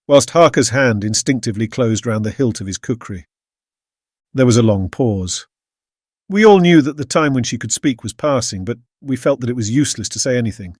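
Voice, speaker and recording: A man with a British accent playing an earnest Victorian gentleman, narrating his diary in a measured, solemn way. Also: medium pitch, no echo, clean studio sound, close to an everyday voice. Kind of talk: scripted dramatic audiobook narration.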